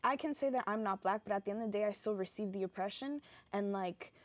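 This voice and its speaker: A woman's voice: medium pitch, fast talker, no room sound. A young woman from the United States, a guest explaining her view in a thoughtful, earnest way. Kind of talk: casual discussion.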